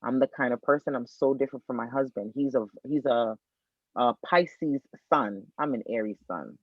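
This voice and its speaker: A man from Miami, Florida, explains birth charts as a podcast guest astrologer. He talks with lively, teacherly energy and circles back to repeat his main points. His voice comes through a tinny, phone-like line that sounds dry and close. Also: medium pitch, moderate pace, dry recording.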